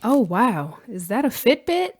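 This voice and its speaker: A woman's voice: a little high, very animated, a little slow, a little mellow. An actress playing a hesitant but easily impressed young female patient in an audio drama. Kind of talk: naturalistic audio drama acting.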